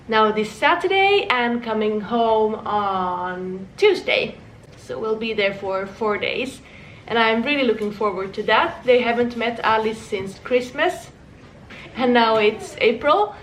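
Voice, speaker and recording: Young woman, fairly high voice; rambling home vlog in an echoey room. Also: a little slow, a bit bright, recorded from a distance, a little hiss or hum. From Finland. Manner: chatty, rambling, frequent restarts and small laughs. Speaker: vlogger. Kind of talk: casual chat.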